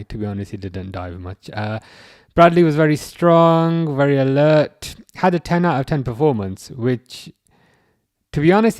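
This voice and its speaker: Young english guy rambling through a football stream, mid pitch, dry close sound. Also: darkish tone, dry recording. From England. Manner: rambling, repeats words and restarts, reads chat aloud. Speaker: livestream host. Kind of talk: casual football livestream chat.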